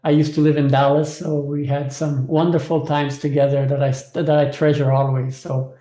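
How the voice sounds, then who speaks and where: A man conducts a podcast interview in a fairly high-pitched, softly mellow voice. The sound of an echoey room surrounds his speech. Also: flat delivery, normal speed. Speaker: podcast host. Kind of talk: interview conversation.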